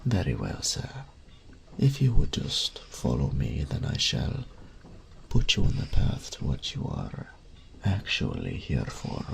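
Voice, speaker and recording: A man playing an elderly, courteous master tailor in a fantasy audio roleplay, with a gravelly, breathy old voice and a formal, unhurried, deferential manner. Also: a bass voice, huge pitch swings, slight background noise, heavily acted. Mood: resigned, stern. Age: older. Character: elderly courteous male master tailor, wistful and proud shopkeeper.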